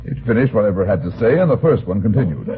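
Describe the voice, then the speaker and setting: An actor playing a wry, blunt private detective in an old radio drama, with a terse, tough, sardonic delivery. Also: male voice, fairly low pitch, very animated, racing. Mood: wry narrating. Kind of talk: old-time radio detective narration and dialogue. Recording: thin, phone-like vintage radio recording.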